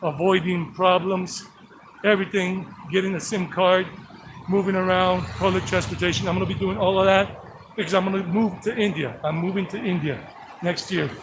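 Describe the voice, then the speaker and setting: A man's voice: high-pitched, steady tone, moderate pace, noisy background. A middle-aged man, a native English speaker, chatting in a rambling, repetitive way as he live streams while walking outdoors beside a creek. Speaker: vlogger. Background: flowing creek.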